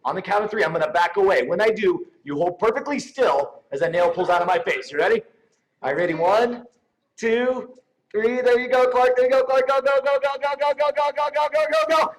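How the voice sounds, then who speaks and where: A man's voice: high voice, fairly quick, poor audio. A man doing joking, teasing showman patter as a magician and presenter at a live event. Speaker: stage magician co-presenting an awards show. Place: live event stage before an audience.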